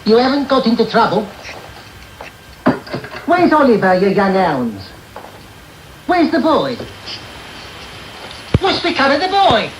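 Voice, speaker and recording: An actor playing a sly, wheedling old gang master of thieves in a radio drama, oily and menacing. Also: male voice, very high-pitched, very animated, takes it slowly, pauses often, heavily acted. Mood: angry, menacing. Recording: noisy, echoey 1990s medium-wave radio tape.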